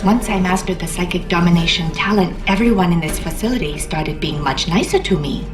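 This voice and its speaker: Deadpan psychic girl voiced by a woman, distant. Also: fairly low pitch, fairly quick, over music, natural voice. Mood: cool, wry. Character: young psychic girl, escaped test subject, coolly menacing.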